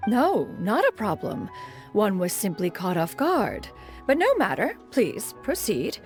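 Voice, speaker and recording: A haughty, animated ancient immortal master. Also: female voice, medium pitch, unhurried, faint music behind. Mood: flustered, dignified. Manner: formal, archaic, speaks of self as "one". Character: ancient female adeptus master, proud, haughty, secretly fond. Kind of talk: scripted video game dialogue.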